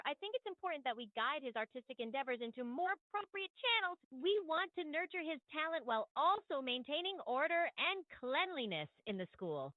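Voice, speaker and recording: A lo-fi recording of a high-voiced woman with a very lively, swooping delivery. She speaks formally and courteously, like a diplomatic school principal on the phone, full of effusive politeness. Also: dry recording. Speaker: AI prank caller posing as school principal. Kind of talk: polished, formal phone-call speech. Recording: dull lo-fi phone call playback.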